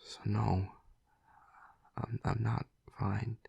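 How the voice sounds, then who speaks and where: A man playing a tormented, tender vampire lover in an intimate audio roleplay, halting and stammering in a breathy, husky half-whisper. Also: fairly low pitch, level tone, mellow, lo-fi sound, full character voice. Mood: anguished, smitten.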